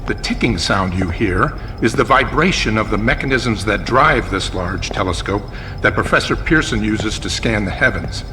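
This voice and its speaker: A man playing a formal, inquisitive radio news reporter in an old-time broadcast drama, with a deliberate announcer delivery. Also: lowish voice, lively intonation, big-room sound, noisy background, lightly acted. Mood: formal, descriptive.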